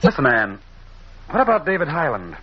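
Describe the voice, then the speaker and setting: An actor playing a probing but affectionate amateur sleuth in an old radio mystery, conversational yet insistent. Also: male voice, a little high, huge pitch swings, moderate pace, slightly rough, exaggerated voice. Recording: muffled, noisy old radio recording.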